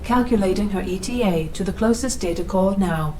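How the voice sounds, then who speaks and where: An actress voicing an impassive ship computer in a video game, giving clipped, procedural alerts. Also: female voice, medium pitch, brisk, recorded from a distance, background noise, natural voice.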